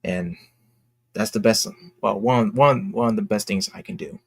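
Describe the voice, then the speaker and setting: A young man talking casually and candidly to his fans in a halting, reflective, earnest way, as an independent creator. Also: on the low side, creaky, breathy edge, no echo. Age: young adult. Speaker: indie comic creator and vlogger.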